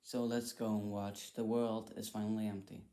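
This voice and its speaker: A man's voice: fairly low pitch, level tone, moderate pace, warm tone. A man giving casual reaction commentary, thinking aloud in a hesitant, repetitive way. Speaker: reaction video host.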